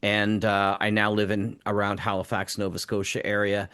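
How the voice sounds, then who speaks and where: A man's voice: on the low side, completely flat delivery, normal speed, fairly bright tone, clear, resonant tone, no room sound, clean studio sound. A man speaking casually and chattily, effusive toward others, as a panelist introducing himself. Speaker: panelist, audio drama producer.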